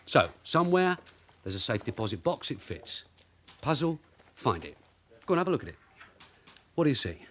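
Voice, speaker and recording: An actor playing a gruff, streetwise London detective sergeant in a radio drama, blunt, sardonic and commanding. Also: male voice, medium pitch, very animated, moderate pace, pauses often, a little hiss or hum, lightly acted.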